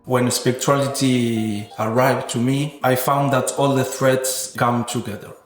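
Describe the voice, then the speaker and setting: Man, Argentine accent, native Spanish speaker, medium pitch, reflective interviewee, measured academic phrasing, echoey room. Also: level tone, faint music behind. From Buenos Aires, Argentina. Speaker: podcast guest, sound artist and researcher. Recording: roomy, echoing sound.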